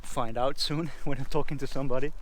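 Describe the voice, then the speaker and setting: A man's voice: medium pitch, steady tone, fairly quick. Out-of-breath man vlogging outdoors. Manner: dry, self-mocking humor. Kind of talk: walking travel vlog. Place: outdoors by a rural road. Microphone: handheld action camera. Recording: faint outdoor background noise.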